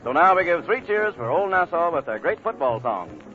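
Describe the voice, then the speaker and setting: A man's voice: a little high, sing-song, moderate pace. An American man announcing a big band broadcast on old-time radio in a polished, formal, showmanlike way. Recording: vintage radio broadcast, narrow tinny sound, loud music. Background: loud big band dance music.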